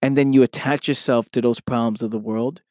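A man hosting his own podcast, his voice a little hoarse, talking earnestly and often asking "right?" as he motivates listeners. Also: medium pitch, steady tone, fairly quick, darkish tone, dry recording, clean studio sound. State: slightly hoarse from losing his voice. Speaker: podcast host. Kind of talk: motivational solo podcast talk.

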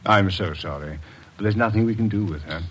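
Controlling low-voiced doctor, played by an actor. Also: male voice, brisk, close to an everyday voice. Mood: coolly polite. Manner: polite but authoritative. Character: smoothly controlling, sinister doctor posing as guardian. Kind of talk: old-time radio drama acting. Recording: noisy vintage radio recording.

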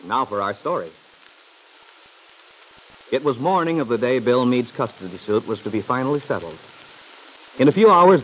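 A man's voice: medium pitch, low-quality recording. Male vintage radio soap narrator, light hiss. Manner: formal, measured storytelling. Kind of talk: old-time radio soap opera narration, scripted. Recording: hissy vintage radio recording.

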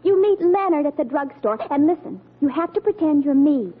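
A woman's voice: sits high, lively intonation, a little fast. A woman with an American accent playing an earnest, easily exasperated teenage daughter in an old radio sitcom, with an emphatic, girlish delivery. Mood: urgent, persuasive. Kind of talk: 1940s radio sitcom acting. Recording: tinny old radio recording.

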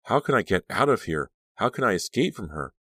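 A man's voice: low voice, huge pitch swings, fairly quick, no echo. A man voicing a frightened, desperate teenage girl in a storybook, defiant and disbelieving, shrieking and demanding.

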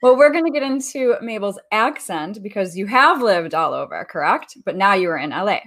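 A woman's voice: mid-range voice, lively intonation, fairly quick, a bit bright. Friendly woman radio host. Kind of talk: interview host reading a guest bio.

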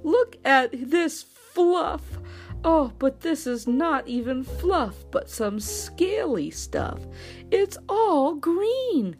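A haughty barnyard creature from a children's tale, acted out by a woman. It sneers in a high, swooping voice and drags out its scornful exclamations. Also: big pitch swings, darkish tone, soft background music, full character voice. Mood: mocking. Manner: drawn-out, exclaiming, sneering delivery. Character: mocking farm animals, haughty and scornful of an odd chick.

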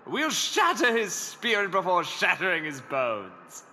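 A man's voice: high voice, wildly swooping pitch, measured pace, sharp tone, a little hiss or hum, full character voice. A man playing a pompous, fawning herald to an evil empress, in the grandiose announcing manner of a comedic fantasy audio drama. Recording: long arena reverb, faint ambience.

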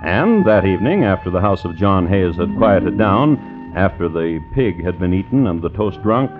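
A man narrating an old-time radio drama in a dramatic storytelling style, building up in measured phrases. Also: deep voice, sing-song. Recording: tinny, band-limited old radio broadcast. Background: music.

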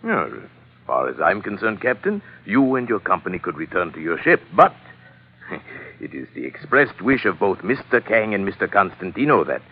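An actor playing a pompous, unctuous villainous colonel with florid, over-polite speech in an old-time radio drama. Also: male voice, fairly low pitch, very animated, normal speed, breathy edge. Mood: smugly courteous. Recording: tinny old radio broadcast.

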